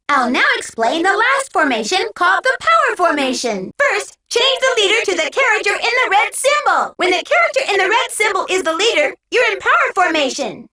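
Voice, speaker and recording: A woman's voice: extremely high pitch, sharp tone, full character voice. A woman voicing a small, cheerful, childlike robot helper who gives eager, encouraging tutorial instructions in a video game. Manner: peppy, encouraging, clearly instructive.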